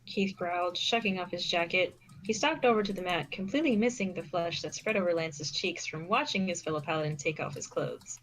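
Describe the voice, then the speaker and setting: A woman reads scripted story narration in a slightly low voice. The home recording carries faint noise and some clicks. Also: brisk, low-quality recording. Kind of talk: scripted narration of fan fiction.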